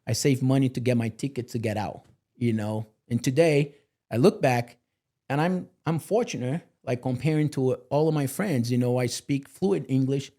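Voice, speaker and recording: Earnest man, mellow voice. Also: medium pitch, normal speed. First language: Portuguese. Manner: earnest, motivational, lots of "you know" fillers. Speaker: guest. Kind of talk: candid podcast interview.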